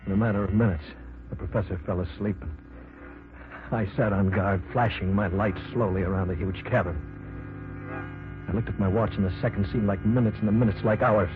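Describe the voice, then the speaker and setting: A man playing a nervous young man who also narrates the story in an old radio drama, anxious and excitable. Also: on the low side, brisk, close to an everyday voice. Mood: narrating. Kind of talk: 1950s radio drama acting. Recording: tinny hissy old radio broadcast.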